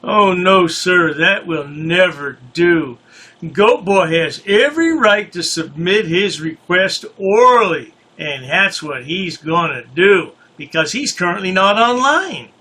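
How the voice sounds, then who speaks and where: High bright sarcastic male commentator. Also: lively intonation, slow pace. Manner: sarcastic, taunting. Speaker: commentator host. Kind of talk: mocking commentary.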